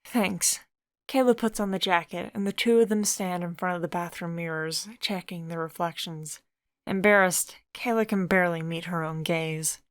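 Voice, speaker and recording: Low, even woman storyteller. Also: moderate pace, no echo, clean studio sound. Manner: steady storytelling, voices every character. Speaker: narrator. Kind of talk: reading a comic-dub story aloud.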